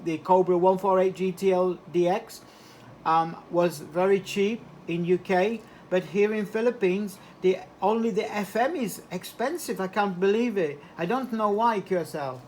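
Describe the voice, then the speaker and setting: A man's voice: sits high, normal speed, darkish tone. A man talking casually as a radio operator over a two-way link, hesitant and repeating words. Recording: slightly noisy two-way radio transmission.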